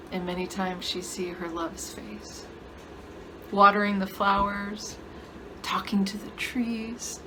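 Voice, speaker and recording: A woman acting a tender, grieving, wistful storyteller in a soft and deliberate theatrical monologue. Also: medium pitch, background noise, natural voice.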